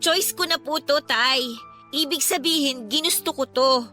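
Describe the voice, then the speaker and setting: A woman's voice: high voice, measured pace, bright, forceful tone. A Filipino woman playing a frustrated, outspoken eldest daughter in a radio drama, indignant and emphatic in her delivery. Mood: earnest, hurt. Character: frustrated, outspoken adult eldest daughter, dutiful but hurt. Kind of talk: Tagalog radio drama acting. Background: background music.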